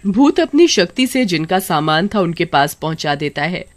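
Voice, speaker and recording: Lady reading out a cartoon story, steady narration. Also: on the low side, moderate pace.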